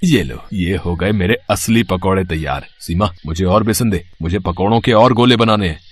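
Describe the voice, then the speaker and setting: A man's voice: low-pitched, normal speed, breathy edge. A man voicing a shameless, sly street fritter seller and husband in a cartoon moral story, gloating and dismissive. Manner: gloating, dismissive of his nagging wife.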